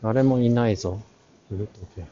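A man's voice: fairly low pitch, moderate pace, dark tone. A young man giving casual, offhand vlog commentary as he walks around a large indoor hall, recorded at arm's length. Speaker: vlogger. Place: large busy art fair hall, indoors. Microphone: wide-angle camera held at arm's length. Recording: dull lo-fi sound, busy crowd. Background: crowd chatter.

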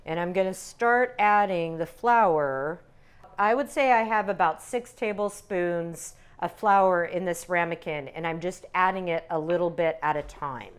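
An older woman calmly demonstrating recipes step by step as a cooking instructor in a teaching kitchen. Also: on the low side, measured pace, clear, resonant tone. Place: home-style teaching kitchen.

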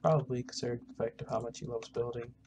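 A gamer giving casual, halting roleplay commentary, muttering to themself while playing, recorded on a noisy home mic with keyboard clicks. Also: mid-range voice, steady tone. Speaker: gaming video host. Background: background noise, keyboard typing and clicking.

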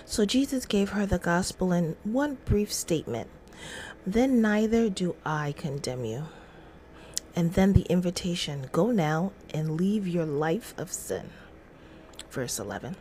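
A woman's voice: fairly low pitch, expressive, measured pace, a little mellow, a little hiss or hum. A middle-aged woman hosting a casual devotional livestream, reading aloud and adding informal, chatty asides. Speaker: livestream host. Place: small indoor room at home.

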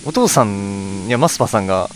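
A man's voice: fairly low pitch, lively intonation, normal speed, slight background noise. A man giving casual gameplay commentary, often murmuring questions to himself as he plays. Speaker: let's play host. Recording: game music behind the voice. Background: video game music.

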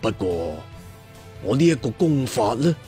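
A man's voice: mid-range voice, very animated, mellow. A man voicing a proud, aloof ancient cultivation master in a Cantonese audio story, solemn and declamatory, drawing out his phrases. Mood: earnest. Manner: slow, drawn-out, declamatory. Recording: music behind the voice.

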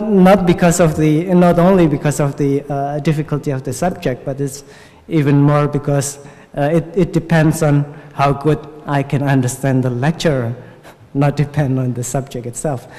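A man in his twenties with an Indonesian accent, speaking informally and haltingly as a student panelist into a table microphone. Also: a little high, warm tone. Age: 20s. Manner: halting, searching for words. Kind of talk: informal spoken remarks at a panel discussion. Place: large lecture hall, at a panel table. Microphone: gooseneck table microphone. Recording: reverberant hall sound.

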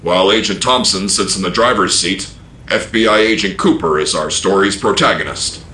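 A man narrating an old-time radio drama as a portentous, deliberate announcer. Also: low-pitched, bright, forceful tone, roomy sound, a little hiss or hum. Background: orchestral score.

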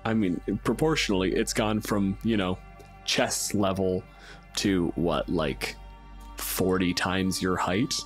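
Male game master, low voice, wry and casual, improvising scene description over music. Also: slow. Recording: music underneath. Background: background music.

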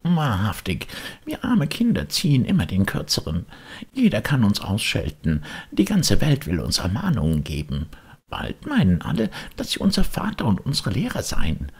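A man's voice: on the low side, huge pitch swings, moderate pace, breathy edge, exaggerated voice. A man voicing a stubborn wooden puppet boy, cocky, defiant and grumbling, in a storybook reading. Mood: boastful grumbling.

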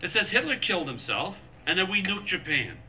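A man's voice: high-pitched, sing-song, a little fast, bright, forceful tone, heavily acted. A man playing a shady, middle-aged American government agent in a video game, glib, cynical and casually menacing. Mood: sardonic. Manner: glib, sardonic, patronizing. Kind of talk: video game cutscene acting.

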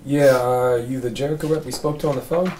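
A man acting a gruff, sarcastic police detective in a scripted drama read, casual and needling. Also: medium pitch, fairly even intonation, fast, dark tone, lightly acted. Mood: brusque. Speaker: actor reading a police detective at a table read. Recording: roomy, distant mic, faint background noise.

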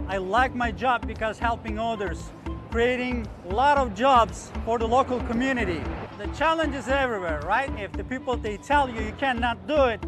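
A man with a Turkish accent talks in an earnest documentary interview, his English a little broken. His voice sits very high for a man. A clear music bed runs under his words. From Turkey. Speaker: recycling plant general manager. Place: outdoors at an industrial port.

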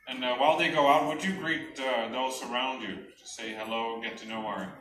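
A man's voice: mid-range voice, steady tone, normal speed, a bit bright, soft background music. A middle-aged man making informal announcements as a church host to the congregation. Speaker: church service host welcoming visitors. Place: church hall with stage and screen. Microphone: handheld mic, recorded by far camera. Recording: echoey church hall, distant mic, noisy.

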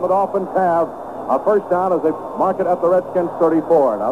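An American man calling a football game play by play for radio in clipped, descriptive phrases. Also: on the high side. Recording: narrow, noisy 1970s radio broadcast.

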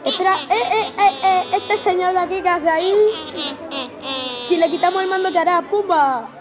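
A child of about ten playing an excited battle commentator in a homemade cartoon, repeating phrases. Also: extremely high pitch, moderate pace. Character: excited live commentator on a giant monster battle. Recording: music and chatter behind voice.

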